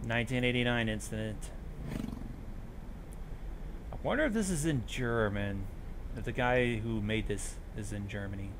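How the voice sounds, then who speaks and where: A man's voice: mid-range voice, normal speed, long pauses, noisy background. A man hosting a reaction show, reading on-screen text aloud and adding commentary.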